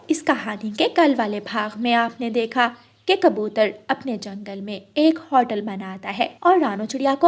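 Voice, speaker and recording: A woman with a high-pitched voice narrates a children's cartoon. She reads from a script as the storyteller.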